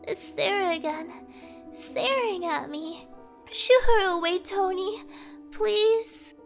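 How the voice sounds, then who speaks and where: A woman puts on a scared little girl in a horror visual novel. The voice is very high and plaintive, pleading for protection, with music behind. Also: slow, vocal fry, heavily acted. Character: frightened, tearful little sister, pleading for protection.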